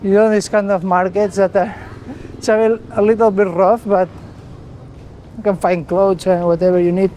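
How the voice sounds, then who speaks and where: A man speaking English as a second language, vlogging casually as he walks a small town's streets and market, halting often and restarting his sentences. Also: high-pitched, little pitch movement, warm tone, slight background noise. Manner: halting, with many restarts and self-corrections. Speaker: travel vlogger. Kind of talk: casual walk-and-talk vlog.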